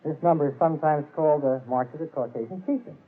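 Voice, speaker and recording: A man's voice: a little high, quick pace. A man, an orchestra leader, trading scripted banter on a 1940s radio show. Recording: tinny 1940s radio broadcast.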